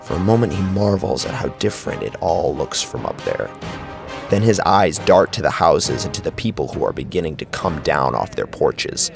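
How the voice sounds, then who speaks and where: A man with a gravelly voice narrating a horror story in a dramatic, scripted style. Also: low-pitched, expressive, normal speed. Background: clear music.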